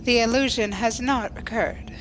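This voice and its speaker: High, formal actress as a logical alien officer. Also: female voice, steady tone, normal speed, slight background noise, close to an everyday voice. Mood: formal, detached. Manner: formal, precise, unemotional. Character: coolly logical alien female junior starship officer. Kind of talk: science fiction audio drama acting.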